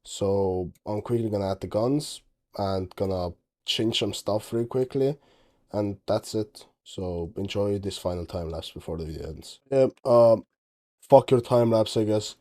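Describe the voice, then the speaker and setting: A teenage man from Estonia, speaking English as his second language, rambles offhandedly through commentary on his own game footage. His voice sits a little low and has a soft, mellow tone. He trails off, restarts, corrects himself, and sounds tired throughout. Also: measured pace. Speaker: game dev vlogger. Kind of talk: casual commentary over screen recording.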